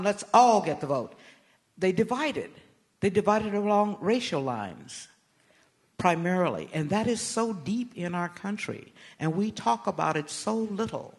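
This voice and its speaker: Older American woman, low-pitched and measured. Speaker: interview guest, political activist. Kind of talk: live on-stage interview answers.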